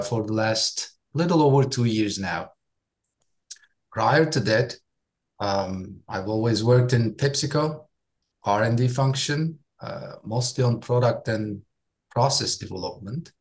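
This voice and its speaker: A man in his forties from Turkey, a guest chatting in a relaxed interview, often repeating words as he goes. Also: lowish voice. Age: 40s. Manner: friendly, with frequent repeated words and quick laughs. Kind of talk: casual interview chat.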